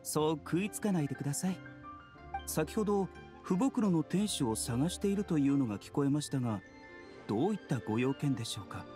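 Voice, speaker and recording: A man playing a courteous, composed doctor in an anime game, speaking gently and formally. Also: fairly high pitch, animated, a little fast, faint music behind, lightly acted. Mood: calm. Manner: soft-spoken polite formal phrasing. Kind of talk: Japanese anime game voice acting.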